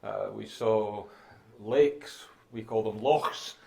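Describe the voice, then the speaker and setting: A middle-aged Scottish man, a guest answering interview questions in a measured, courteous way with some restarts. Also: lowish voice, gravelly, a little mellow. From Scotland. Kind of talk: relaxed conversational interview.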